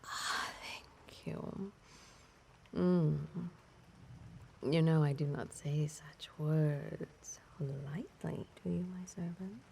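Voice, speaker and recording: A haughty vampire mistress speaks in a low, creaky voice that slips into breathy whispers. She draws out her archaic phrases with regal disdain and cunning, as in an intimate roleplay audio drama. Faint background noise sits behind her. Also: a little mellow, full character voice. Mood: fond, haughty. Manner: haughty, archaic, theatrical phrasing with lingering pauses.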